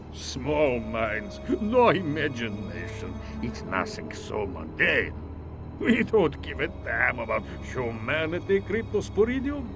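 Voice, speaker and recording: A man's voice: mid-range voice, full character voice. An actor playing a grandiose, gloating video game villain, theatrical, pompous and condescending in the way he monologues. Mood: grandiose contempt. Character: gloating, grandiose male villain with fiendish schemes. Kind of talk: video game cutscene acting.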